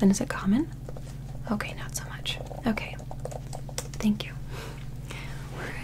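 Whispering woman as a calm alien examiner. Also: mid-range voice, close to an everyday voice, poor audio. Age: young adult. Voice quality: soft, breathy whisper. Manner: gentle, slow, methodical, clinical. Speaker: ASMR performer. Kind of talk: whispered ASMR medical roleplay.

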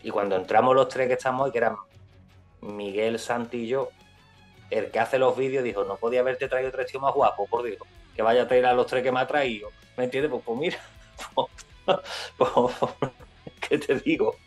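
A man's voice: medium pitch, fairly even intonation, normal speed, faint music behind. Man rambling on a video call. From Spain. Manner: rambling, restarts, tag questions. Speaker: guest musician. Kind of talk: casual video call interview. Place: indoor room. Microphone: webcam on video call.

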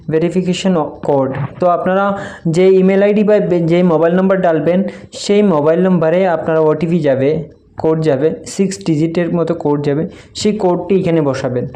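A man's voice: sits high, warm tone. A man from gives an informal step-by-step app tutorial, explaining repetitively and mixing in English tech terms. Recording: noisy home-made recording with clicks.

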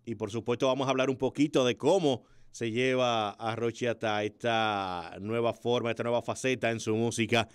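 A man's voice: medium pitch, steady tone, normal speed, few pauses, smooth. Effusive Dominican male radio host. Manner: effusive, frequent exclamations of wow. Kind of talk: casual urban music radio interview. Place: small radio studio. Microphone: close studio microphone with headphones.

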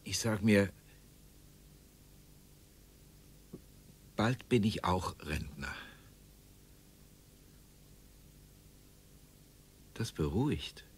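A man's voice: sits low, animated, unhurried, slight background noise. An actor playing a cheerful, chatty middle-aged mailman who chuckles as he talks in a radio play. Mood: jovial. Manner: chuckling, jovial, laughs often. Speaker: mail carrier friend named Horst.

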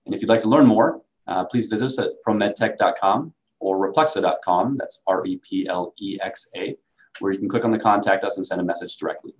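A man presenting a product as a company sales executive, in a measured, explanatory way. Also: on the low side, low-quality recording.

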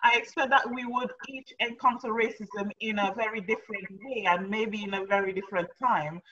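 A woman from Dominica moderating a video call panel, speaking in a reflective, measured way with drawn-out emphasis. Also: medium pitch, steady tone, fairly bright tone. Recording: dull distant video call audio.

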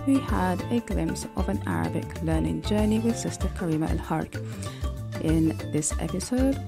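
Low, gravelly-voiced woman podcast host. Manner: measured, reads from notes, says "quote unquote" often. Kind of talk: scripted educational podcast narration.

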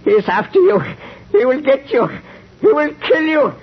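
A man's voice: extremely high pitch, very animated, normal speed. An actor playing a vengeful, hate-filled criminal man in overwrought 1930s radio melodrama style. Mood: bitter hatred. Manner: overwrought, shouting, hissing threats. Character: vengeful, frenzied male criminal, full of hate. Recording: muffled, noisy 1930s radio recording.

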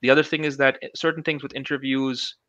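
A man's voice: mid-range voice, little pitch movement, a little fast, bright, forceful tone, no echo, highs cut off. A man in his thirties, a guest researcher on a video call interview, speaking thoughtfully with many restarts and fillers. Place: small room at home. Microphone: headset.

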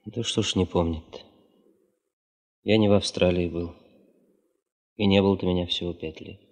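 An actor playing a modest, reserved geologist with a restrained delivery in a 1970s Soviet radio play. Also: male voice, deep voice, fairly even intonation, normal speed, darkish tone, in a large hall. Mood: subdued, modest. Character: reserved, modest geologist man returning home.

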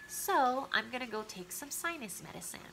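A woman's voice: a little high, sing-song, normal speed, gravelly, bright, forceful tone, a little hiss or hum, low-quality recording. A woman, chatting to the camera about a true crime case from her living room with a stuffy nose, opinionated and talking straight to her viewers. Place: living room at home, indoors. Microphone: camera close in front of her.

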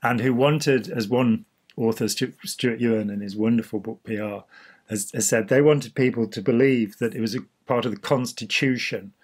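A man, slightly hoarse, explaining history in a casual chat and gesturing freely as he talks. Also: mid-range voice, fairly even intonation, moderate pace. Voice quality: husky. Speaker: host. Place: small indoor room.

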